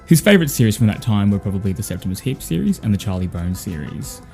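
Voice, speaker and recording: Australian man, podcast host, scripted outro read, low pitch, faint music behind. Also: sing-song, quick pace, gravelly, a little mellow. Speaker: podcast host reading credits.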